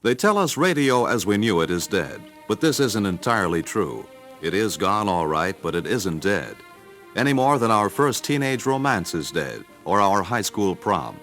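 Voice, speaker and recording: Low-voiced American narrator, man, expressive delivery. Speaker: narrator of a radio history record. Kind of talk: scripted documentary narration.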